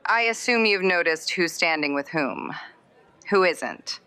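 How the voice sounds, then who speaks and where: An actress playing a sardonic, powerful mother in a noir audio drama, with an arch, deliberate delivery and veiled menace. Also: on the low side, expressive, pushed and bright, smooth, no echo. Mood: cool menace.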